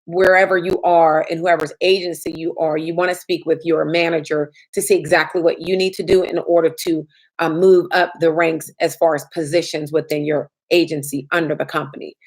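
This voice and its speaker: A woman insurance trainer answers viewer questions in a chatty live stream. Her voice sits a little low and has a slight rasp from lack of sleep. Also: little pitch movement, fairly quick.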